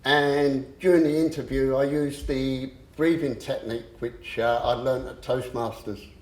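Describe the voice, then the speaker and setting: Slow-spoken man with a stammer. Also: mid-range voice, fairly even intonation, darkish tone. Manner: slow, halting, with frequent pauses and fillers. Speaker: speaker giving a talk. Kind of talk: personal motivational talk. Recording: echoey room.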